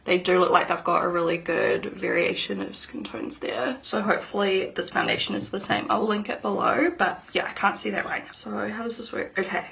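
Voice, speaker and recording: Woman, thirties, beauty vlogger, upbeat and rambling, slightly nasal, vocal fry. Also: medium pitch, expressive, fairly quick, few pauses, a bit bright. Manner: chatty, upbeat, rambling asides. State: slightly nasal from hay fever. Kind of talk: casual makeup review to camera.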